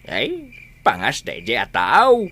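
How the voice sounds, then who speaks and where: A man acting a meddling, excitable old village father in an Ilocano radio comedy drama, with drawn-out exclamations and an Ilocano accent. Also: mid-range voice, heavily acted. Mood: grumbling, exclaiming.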